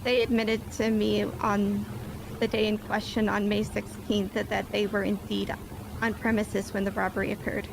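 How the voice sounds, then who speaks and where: Woman playing a flat-toned female officer on the stand, noisy audio. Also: medium pitch, moderate pace, close to an everyday voice. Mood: formal. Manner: formal, precise wording. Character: nervous female police officer testifying in court. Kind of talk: improvised courtroom roleplay in an online game. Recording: clearly noisy game voice chat.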